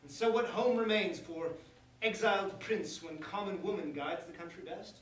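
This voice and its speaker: Man playing a pompous high-voiced prince, echoey. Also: normal speed, close to an everyday voice. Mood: brooding. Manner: declamatory, theatrical, formal verse speech. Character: pompous, bitter, exiled young prince. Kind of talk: staged reading of verse comedy. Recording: distant mic, echoey room, faint noise.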